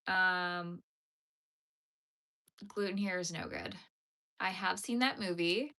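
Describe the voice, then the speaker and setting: A woman's voice: on the low side, slow, pushed and bright. A young Canadian woman with a Canadian accent, chatting casually on a livestream, answering viewers' comments while she crochets.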